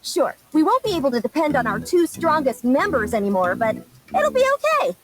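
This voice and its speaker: A woman's voice: sits high, big pitch swings, a little fast, over loud music. A woman playing a boastful, childlike hero girl in a video game, with a theatrical, goofy delivery. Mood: breezy.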